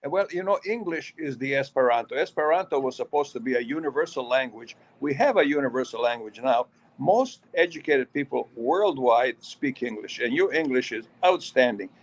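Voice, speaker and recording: A man's voice: mid-range voice, lively intonation, normal speed, hardly any pauses, poor audio. An older man who grew up in Yugoslavia, hosting a casual radio interview and telling long, chatty stories. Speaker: radio host.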